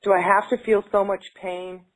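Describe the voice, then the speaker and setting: A woman's voice: medium pitch, little pitch movement, moderate pace. A woman taking part in a tapping session, echoing phrases back and speaking hesitantly with many fillers when she talks freely. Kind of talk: repeating affirmations, then casual talk.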